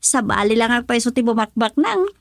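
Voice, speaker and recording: A woman's voice: on the high side, very animated, a little fast, vocal fry. A woman with an Ilocano accent playing a friendly, admiring, chatty young woman in a radio drama. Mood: admiring, teasing.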